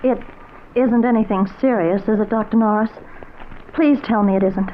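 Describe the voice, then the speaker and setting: An actress playing a gentle, worried young wife who is unwell, in an old-time radio drama, with an affectionate manner. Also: female voice, mid-range voice, normal speed, natural voice. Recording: muffled vintage radio, faint noise.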